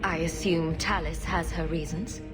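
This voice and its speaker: A woman playing a composed, diplomatic female champion in a fantasy video game, measured and probing. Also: fairly low pitch, steady tone, loud music behind the voice, lightly acted. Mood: calm, reproachful. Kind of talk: video game cutscene acting.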